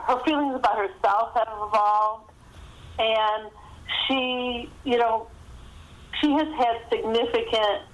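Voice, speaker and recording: Woman author on a phone line. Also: fairly high pitch. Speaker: guest author. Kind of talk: conversational interview answers. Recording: thin phone-line sound.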